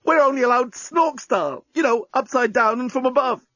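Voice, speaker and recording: A high, gasping, flustered alien journalist, put on by a male narrator; slightly muffled, dry recording. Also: expressive, fairly quick, pushed and bright, no echo, heavily acted.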